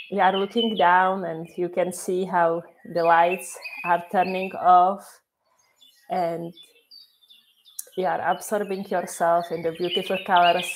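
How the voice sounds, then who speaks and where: A woman whose first language is Czech, guiding a meditation slowly and softly, repeating her phrases with long pauses. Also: fairly low pitch, background noise. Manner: slow, soft and repetitive, with many pauses. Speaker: meditation guide. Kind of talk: guided meditation.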